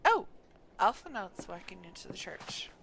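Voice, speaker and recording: Female game streamer, casual asides, expressive pitch, fry in the voice, noticeable noise. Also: normal speed. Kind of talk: casual live reactions to gameplay.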